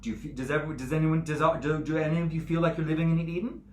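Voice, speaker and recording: A man's voice: sits low, animated, moderate pace. Man acting a menacing, wildly swinging zealot judge. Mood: indignant. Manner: profane, taunting, domineering. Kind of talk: theatrical monologue, swinging from whispers to screams. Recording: echoey room with clear background noise.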